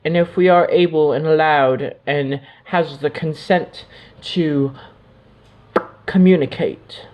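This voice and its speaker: A man giving a channeled spiritual monologue, speaking as otherworldly beings in a drifting, mystical way, with pauses and soft trailing asides. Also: on the high side, fairly even intonation.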